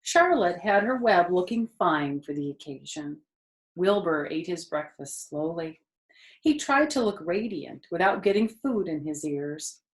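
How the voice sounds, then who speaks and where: A woman storyteller reading a children's book expressively, lingering deliberately on the ends of phrases. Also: lowish voice, animated, roomy sound, low-quality recording.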